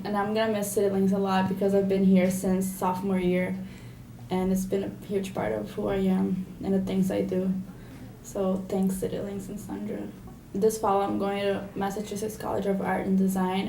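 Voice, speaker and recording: A young woman from Brazil speaks softly and steadily. Her voice is gravelly, mellow and flat. The room adds echo over a faint hiss. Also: medium pitch, moderate pace. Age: young adult. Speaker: graduating senior. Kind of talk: casual video introduction.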